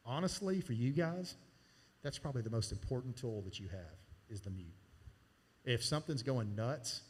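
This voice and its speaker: A man's voice: mid-range voice, darkish tone. A man giving casual, hands-on training on a church sound board, talking while he demonstrates. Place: church sanctuary. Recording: long hall reverb from live church sound.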